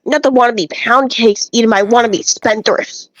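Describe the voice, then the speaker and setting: A woman's voice: a little high, normal speed, natural voice, poor audio. A woman reading aloud as an opinionated, folksy male bread enthusiast who rambles and grumbles through game dialogue. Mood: grumbling, rambling.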